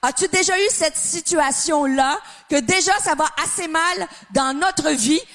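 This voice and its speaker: A woman's voice: sits high, a little slow, fairly bright tone. A middle-aged woman from Canada with a Québécois French accent, preaching a sermon with passionate emphasis, building to exclamations.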